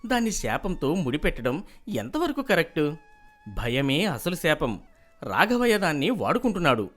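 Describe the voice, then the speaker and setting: A man voicing an earnest, city-educated young man in an animated moral story, confident and questioning. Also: a little high, moderate pace, faint music behind. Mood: earnest, skeptical.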